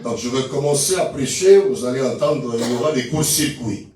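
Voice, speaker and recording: A man's voice: mid-range voice, normal speed, recorded from a distance, crowd noise. A man from Angola, a guest pastor and not a native French speaker, preaching a loose, chatty sermon and drawing the congregation into call and response. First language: not French; English and Portuguese speaker. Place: church hall with congregation. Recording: echoey hall, heard from afar.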